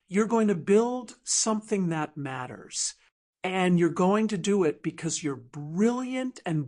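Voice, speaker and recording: A woman plays a middle-aged man, the tender old sweetheart of an audiobook romance. He speaks gently and quietly, taking his time over each phrase. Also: fairly low pitch. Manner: soft-spoken, gentle.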